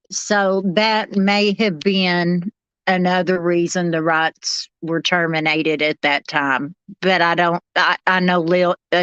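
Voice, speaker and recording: A woman panelist in a casual discussion, reading questions and answering in a measured, tentative way. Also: on the low side, fairly bright tone, clear, resonant tone, no echo. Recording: slightly dull lo-fi sound.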